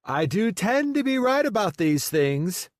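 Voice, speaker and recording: A man's voice: high voice, sing-song, a little slow, no echo, clean studio sound, pitched above the performer's own voice. A man voicing a cocky, playful male friend with a smug, sing-song manner in a romance audiobook.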